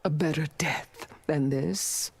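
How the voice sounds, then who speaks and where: A woman's voice: low-pitched, creaky, slight background noise, exaggerated voice. A woman playing a proud, defiant defeated rebel warrior in a video game, with dramatic, scornful delivery. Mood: grim, solemn. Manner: dramatic, scornful, slow and weighty.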